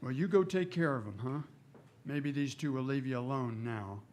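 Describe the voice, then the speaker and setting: An actor playing a firm, fair-minded frontier marshal in a western radio drama. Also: male voice, medium pitch, normal speed, a little mellow, a little hiss or hum, natural voice. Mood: calm, reassuring. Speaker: actor and narrator.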